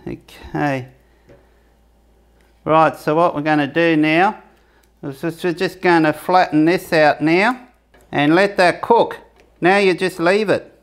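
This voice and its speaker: A man's voice: fairly high pitch, takes it slowly, pauses often. A man giving a casual, rambling cooking demonstration for his camping review channel, often restarting his sentences. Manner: chatty, rambling, self-correcting.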